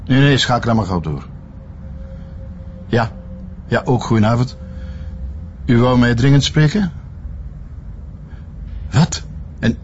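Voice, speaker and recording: An actor playing a formal, tired official with a Flemish accent and a hesitant manner, on the phone in a TV crime drama. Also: male voice, mid-range voice, darkish tone, dull audio, a little hiss or hum. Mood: tired, businesslike.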